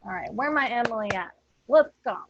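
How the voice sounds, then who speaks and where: A woman's voice: fairly high pitch, expressive, fairly quick, muffled recording, background noise. A woman talking casually as a podcast host, organizing her cast in a breezy, informal way. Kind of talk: casual chat.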